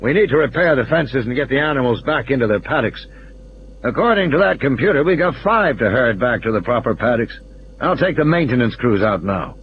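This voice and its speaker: A man's voice: mid-range voice, expressive, a little fast, lightly acted. A man plays a practical game warden taking charge in an audio drama, decisive and businesslike. The voice sits a bit above his narration, slightly dull with noise behind it. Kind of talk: audio play character dialogue.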